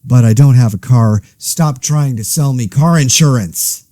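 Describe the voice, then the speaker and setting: A man giving a casual, rambling mock news commentary in a wry, deadpan, self-interrupting way, as the host of a satirical podcast. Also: medium pitch, measured pace, dry recording, studio quality.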